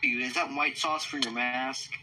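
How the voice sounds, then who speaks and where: A man's voice: medium pitch, steady tone, moderate pace, noisy background. A man chatting casually and teasing his friends during a game roleplay. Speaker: player in a Minecraft roleplay.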